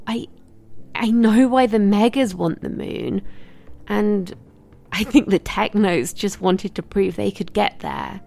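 A sincere woman newcomer in an audio drama. She speaks slowly with a creaky edge, gently questioning and a little hesitant. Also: medium pitch. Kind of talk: naturalistic audio drama acting.

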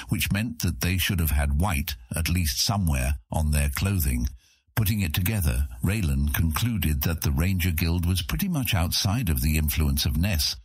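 A man's voice: sits low, slightly rough, no echo, clean studio sound. A man narrating a scripted fantasy audiobook as its storyteller.

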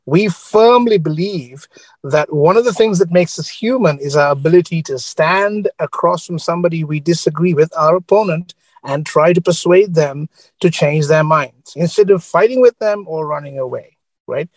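A man's voice: a little high, moderate pace, dry recording. A man from Sri Lanka, speaking earnestly as a media executive guest and often checking in with "right?". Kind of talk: earnest panel talk.

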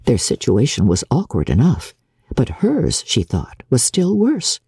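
A woman narrating classic literature as a dramatic reading, in a measured, expressive storytelling manner. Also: very deep voice, husky, no echo, studio quality. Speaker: audiobook narrator.

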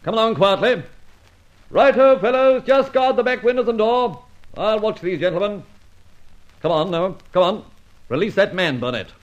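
Dashing adventurer with animated delivery. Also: male voice, high-pitched, moderate pace, pauses often, muffled recording. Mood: commanding bluff. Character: dashing gentleman adventurer, cool, wry, self-assured. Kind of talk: 1940s-50s radio adventure serial acting, first-person narration and dialogue.

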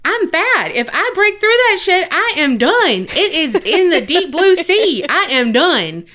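A woman's voice: very high voice, very animated, unhurried, bright, forceful tone. A woman co-hosting a podcast in casual, profane banter, blunt and self-deprecating. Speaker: podcast co-host.

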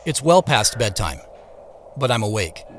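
A man's voice: fairly low pitch, big pitch swings, measured pace, slight background noise. An actor playing an introspective male scientist in a first-person audio drama, moving between narration and dialogue. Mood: hushed suspense.

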